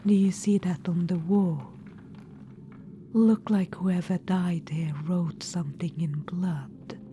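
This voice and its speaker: A woman's voice: on the low side, steady tone, slow pace, slight background noise. A woman whispering as a sly undead witch-queen. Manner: soft whisper, coaxing and condescending, calls the listener darling. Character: ancient undead witch-queen, floating, proud and scheming. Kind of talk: ASMR audio roleplay.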